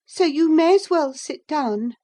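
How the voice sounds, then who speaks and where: A woman's voice: lively intonation, a little slow, mellow. A woman reading aloud, voicing a reckless young woman who boldly taunts the master of the house. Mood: defiant. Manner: bold, high-pitched, taunting.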